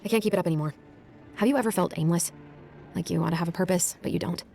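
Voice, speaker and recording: A woman's voice: on the low side, racing, gravelly, dark tone, a little hiss or hum. A woman playing an earnest, private, emotionally exposed character in a video game. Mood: confessing, aimless.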